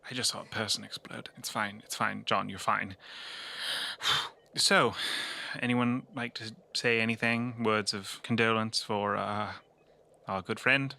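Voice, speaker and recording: A man playing a tabletop roleplaying game, improvising in character in a halting, dry, wry way. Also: on the low side, fairly even intonation, creaky, pushed and bright.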